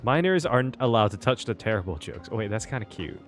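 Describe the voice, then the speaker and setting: Lively male game streamer reacting. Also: on the low side, quick pace. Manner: amused, informal musing. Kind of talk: casual reaction commentary. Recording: faint game music behind.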